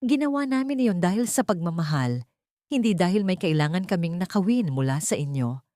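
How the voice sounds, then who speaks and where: A woman's voice: on the low side, expressive, a little fast, dry recording, clean studio sound, natural voice. Woman acting a scheming, manipulative rich woman. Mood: sweet, persuasive. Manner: soft, coaxing or coldly menacing.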